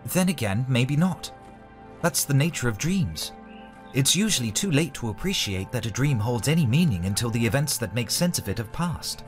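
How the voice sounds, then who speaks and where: A man playing a composed, noble knight commander in a fantasy audio drama, formal with gentle teasing. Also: mid-range voice, sing-song, moderate pace, music in the background, lightly acted. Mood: wry, teasing.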